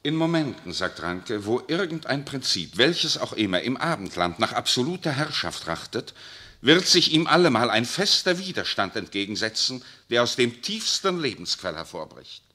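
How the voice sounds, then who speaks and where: A worldly papal nuncio, bright lively voice, low pitch, suave and ironic, radio drama. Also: male voice, moderate pace. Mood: lecturing. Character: urbane worldly male papal nuncio, middle-aged. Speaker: actor in a radio play. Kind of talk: 1960s radio drama acting.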